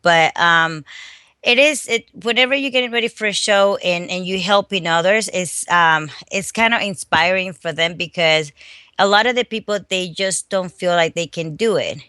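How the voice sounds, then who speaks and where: A middle-aged woman from the Dominican Republic, a fitness coach speaking haltingly with many restarts in a phone interview. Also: lowish voice, bright, forceful tone, clean tone, dry recording. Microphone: phone call.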